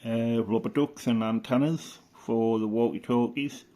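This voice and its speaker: A man's voice: medium pitch, fairly even intonation, a little slow, mellow. A middle-aged man, talking informally and hesitantly as he thinks aloud through a show-and-tell. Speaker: hobbyist vlogger. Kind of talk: casual rambling show-and-tell commentary.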